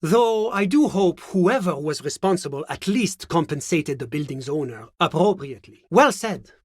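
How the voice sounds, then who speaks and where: A man playing a smug, urbane government official in a video game, with a French accent and a pompous, measured, courteous manner. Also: on the high side, animated, no room sound, clean studio sound. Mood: mild, placating. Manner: genteel, pompous, measured, with little questioning hums. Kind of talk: theatrical game character dialogue.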